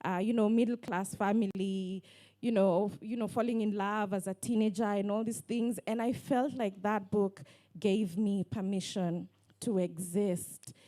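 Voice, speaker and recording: A woman, talking on a book panel in a lively storytelling way full of fillers. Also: medium pitch, unhurried. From Kenya. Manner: animated storytelling with many fillers. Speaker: panelist, online book lover. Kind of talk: casual panel talk.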